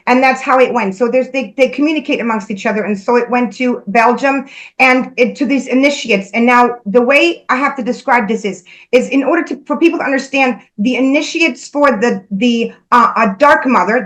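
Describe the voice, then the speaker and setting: A woman's voice: fairly high pitch, fairly bright tone, low-quality recording. A woman speaking as a spiritual medium in casual conversation, earnestly relaying messages she says she hears.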